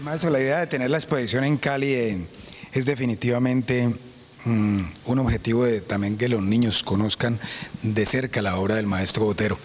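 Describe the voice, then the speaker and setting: A man's voice: mid-range voice, steady tone, moderate pace, soft background music. A man with a Colombian accent interviewing in a deferential, wordy way for a news broadcast.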